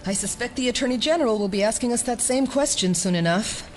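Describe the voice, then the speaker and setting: A woman's voice: on the high side, fast, a little hiss or hum, lightly acted. A woman playing an officious, smug senior government bureaucrat in an audio drama, clipped and dryly condescending. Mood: coolly smug.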